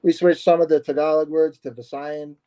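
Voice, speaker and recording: A man's voice: on the high side, flat delivery, a little fast, dark tone. A man, a martial arts instructor, chatting as a podcast guest in a hesitant, easygoing way, often laughing. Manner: hesitant, many fillers and restarts, laughs easily. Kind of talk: casual podcast conversation.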